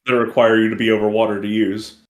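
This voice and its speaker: Male co-host, lower pitch, roomy echoey mic, plain explaining manner. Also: little pitch movement, quick pace. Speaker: podcast co-host. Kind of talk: casual chat. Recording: echoey room.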